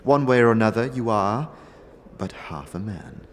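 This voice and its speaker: A man's voice: lowish voice, expressive. Man voicing a solemn mystic noblewoman with sweeping intonation. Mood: cryptic, solemn. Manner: measured, formal, dramatic pauses. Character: solemn, aloof mystic noblewoman, grave and scornful.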